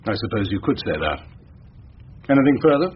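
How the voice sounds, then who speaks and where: An actor playing a curt, guarded English army captain in a radio drama, speaking in a clipped, defensive and coolly reserved manner. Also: male voice, fairly low pitch, big pitch swings, a little fast, husky. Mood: controlled, factual. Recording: dull radio recording with faint noise.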